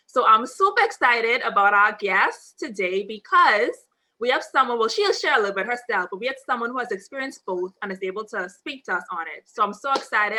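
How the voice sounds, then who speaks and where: A young woman hosts a video-call chat from an armchair at home, her voice crisp and bright. She sounds upbeat and conversational, picked up by a webcam mic. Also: mid-range voice, poor audio. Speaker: host. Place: indoors at home, seated in armchair.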